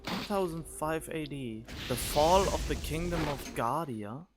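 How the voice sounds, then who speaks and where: Animated high-voiced man reacting to a game. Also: unhurried. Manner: puzzled, thinking aloud. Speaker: gamer reacting to game cutscenes. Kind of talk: casual reaction commentary. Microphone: studio condenser microphone. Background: music from game cutscenes.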